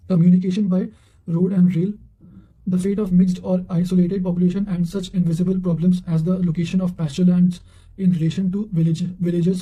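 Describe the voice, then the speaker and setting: Very mellow, high-pitched man reading aloud. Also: rough recording. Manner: halting, often stumbles and repeats words. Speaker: narrator reading revision notes. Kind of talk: reading quotations aloud for study revision.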